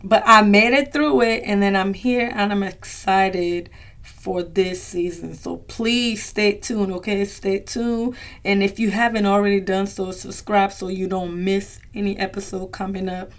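A woman's voice: medium pitch, steady tone, a little slow. Young woman doing a solo podcast, chatty n heartfelt, lots of "like" and "um", calls folks y'all, voice a bit strained. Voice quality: voice slightly strained from recent voice loss. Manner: chatty and heartfelt, many fillers, addresses listeners as y'all. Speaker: podcast host. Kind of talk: casual solo podcast talk.

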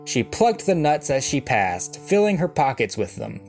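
A man reading a fairy tale aloud as an expressive storyteller, with a wry, dry comic touch. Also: mid-range voice, normal speed. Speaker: storyteller, reader. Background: soft guitar music.

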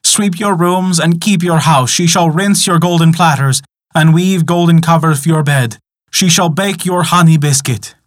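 A man's voice: moderate pace, no echo, lightly acted. A frantic young man, half sunk in a swamp, begs a wizard to spare him in a raised, pleading voice, as a storyteller puts him on. The sound is close and dry, with no room around it. It is a storybook voice of panic and grief. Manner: pleading, higher and louder than narration.

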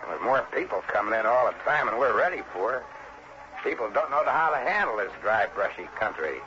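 An actor playing an exasperated county fire chief in an early 1960s radio drama, folksy, opinionated and emphatic. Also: male voice, mid-range voice, animated, a little fast, sharp tone. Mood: resigned. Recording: dull, faintly noisy vintage radio recording.

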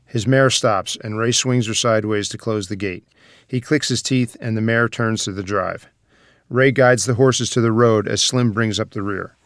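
A man's voice: fairly low pitch, no echo, clean studio sound. A man reading scripted stage directions as the narrator of a screenplay table read.

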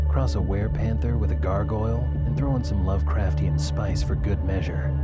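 An actor playing a cocky, taunting devil-like doomsday tempter in an audio drama advert, swaggering and foul-mouthed. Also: male voice, deep voice. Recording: loud music behind the voice. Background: loud music.

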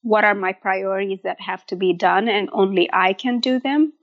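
A woman's voice: mid-range voice, normal speed, highs cut off. A woman in her thirties from Egypt, a food blogger talking casually as a guest in an interview.

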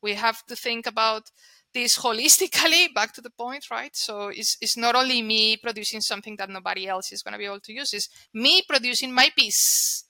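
A woman's voice: fairly high pitch, fairly quick, pushed and bright, no room sound. A woman from Colombia whose first language is Spanish, speaking English with a Colombian accent as an interview guest. She talks emphatically and often repeats words as she restarts. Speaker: guest, data and analytics leader. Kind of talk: conversational interview.

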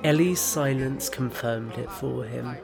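A man's voice: medium pitch, a little slow, gravelly, over music. A man reading scripted third-person narration as the narrator of an audio drama.

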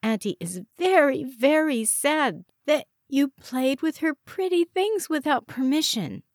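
A woman voicing a patient, placating young mother in an audiobook, with a gentle, reasoning manner. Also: high voice, lively intonation, a little slow, no echo, studio quality, voice pushed up. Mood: coaxing.

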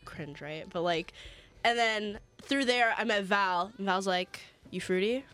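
A woman's voice: medium pitch, measured pace, fairly bright tone. A young woman on a radio show as a guest, sounding a little nasal as she gets over a cold, telling teasing stories and acting out what people said. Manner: teasing storyteller, acts out quotes. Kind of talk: casual chat.

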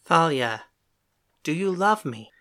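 A heartsick young woman pleads in a soft, earnest, hurt voice, as a woman narrator puts her on. The tone is bright and clear, and the reading is gentle throughout this audio story. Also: sits low, expressive, takes it slowly, pitched below the performer's own voice, full character voice. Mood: pleading.

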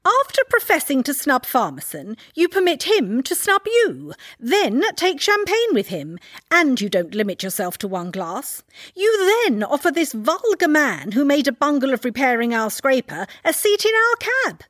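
An indignant middle-class Victorian wife with an English accent. Her voice is high and swoops expressively as she delivers cutting, deliberate sarcasm in a comic period audiobook. The sound is close and dry. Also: no room sound.

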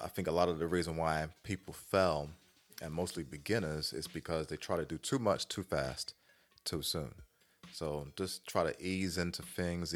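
A man with a very low voice gives his opinions in loose, conversational banter as a podcast co-host. He talks in colloquial phrases and tends to repeat a point to drive it home. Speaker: podcast co-host giving advice.

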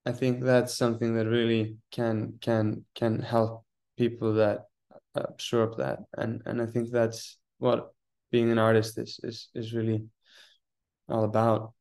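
A man's voice: on the low side, completely flat delivery, a little slow, a little mellow. A man in his twenties from Iceland, a guest on an interview podcast, speaking thoughtfully with many restarts and repeated words. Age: 20s. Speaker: guest theater artist. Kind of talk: casual interview.

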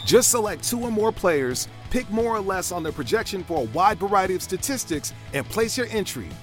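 A man delivers a scripted promotional read as an ad announcer. His voice is high, with faint music behind it. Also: sing-song, fairly quick. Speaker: advertisement announcer.

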